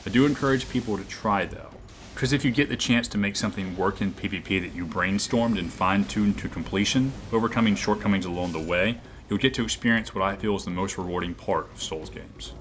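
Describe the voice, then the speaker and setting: A man with a booming voice talking earnestly about his gaming channel, often reflective, with frequent fillers and restarts. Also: a little fast, fairly bright tone, slight background noise. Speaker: gaming YouTuber. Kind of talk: game commentary and channel talk.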